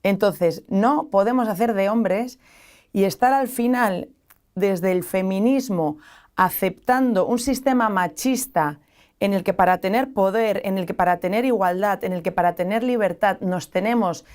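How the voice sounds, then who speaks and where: A woman's voice: mid-range voice, moderate pace, darkish tone. A woman from San Sebastián with a strong Basque Spanish accent, a guest in a studio interview who lays out long, reflective arguments. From San Sebastián, Spain. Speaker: guest, association founder.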